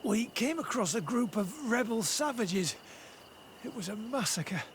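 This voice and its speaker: High-voiced wounded soldier. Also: male voice, sing-song, measured pace, a little hiss or hum. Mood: weak, shaken. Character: wounded male soldier, frightened survivor of a massacre.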